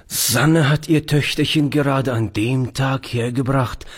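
A man voicing a kindly old grandfather who speaks in an earnest, gentle, tremulous way. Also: mid-range voice, steady tone, a little slow, husky, voice pushed up. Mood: explaining.

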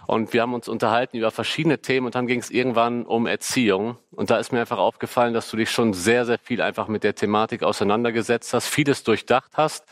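A man's voice: medium pitch, no echo, clean studio sound. A man moderating a panel discussion, encouraging guests with short affirmations.

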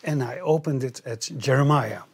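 A man from the Netherlands with a Dutch accent, telling his own story haltingly and repeating phrases. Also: medium pitch, creaky, darkish tone, slightly rough. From northern Netherlands. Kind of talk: personal storytelling in English, paused for interpreting.

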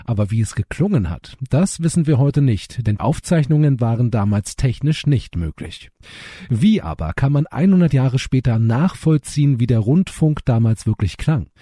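A man with a husky voice reading scripted radio news as a presenter. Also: mid-range voice, expressive, moderate pace, warm tone, no echo, studio quality.

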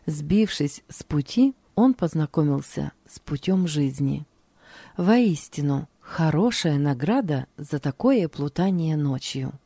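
Low, mellow woman reading calmly. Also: slow pace, slightly rough. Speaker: narrator. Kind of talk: scripted reading of a religious diary.

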